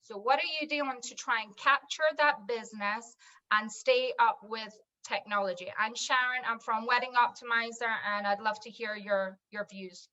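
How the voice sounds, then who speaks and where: A woman's voice: a little high, steady tone, moderate pace, sharp tone. Scottish woman on a dull video call. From Scotland. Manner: fluent, businesslike, listing items one by one. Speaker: wedding software business owner. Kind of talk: pitching in an online panel discussion.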